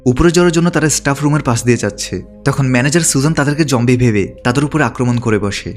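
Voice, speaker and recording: A man's voice: medium pitch, fast talker, soft background music. A man narrating a film's story from a script, as a recap narrator. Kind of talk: scripted narration of a film plot.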